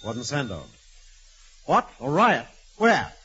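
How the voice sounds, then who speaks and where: Casting: principled warden, commanding and expressive, noisy vintage radio. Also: male voice, medium pitch, slow pace, exaggerated voice. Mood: urgent, alarmed. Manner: firm, formal, commanding. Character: earnest, authoritative male reform-minded prison warden. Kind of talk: 1940s radio acting. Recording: noisy, dull vintage 1940s radio recording.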